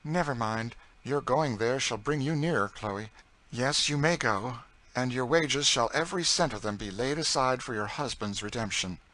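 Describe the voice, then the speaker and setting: A male narrator gives voice to a genteel lady of the house in a storybook. The voice sits somewhat raised and clear-edged, with a composed, kindly and measured way of speaking. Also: medium pitch, sharp tone, voice pushed up, lightly acted, low-quality recording. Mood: reassuring. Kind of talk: audiobook character dialogue.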